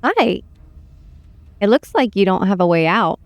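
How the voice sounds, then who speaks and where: Menacing, composed princess, dry sound. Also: female voice, mid-range voice, big pitch swings, a little slow, no room sound. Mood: coolly threatening. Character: menacing, wryly calm captive princess. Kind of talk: video game voice acting.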